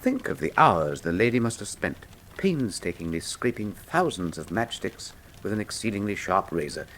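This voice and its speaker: Clever victorian detective, formal n dry, really animated pitch, radio drama, slight hiss. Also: male voice, moderate pace. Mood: dry, analytical. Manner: precise, formal, dryly witty. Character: brilliant, cool Victorian consulting detective, dryly macabre. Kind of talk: full-cast radio drama acting.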